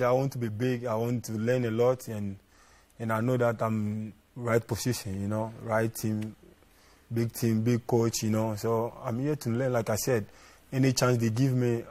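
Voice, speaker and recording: A man's voice: mid-range voice, steady tone, moderate pace, darkish tone. A young man, a footballer, giving casual interview answers in short repeated phrases with frequent verbal tags.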